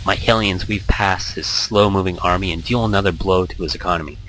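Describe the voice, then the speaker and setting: Deep-voiced, bright, expressive man reading aloud. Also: a little fast, a little hiss or hum, poor audio. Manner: expressive, stumbles over words and rereads. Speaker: let's player reading a visual novel aloud. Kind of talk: dramatic read-aloud with casual asides. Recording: background music under the voice. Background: music.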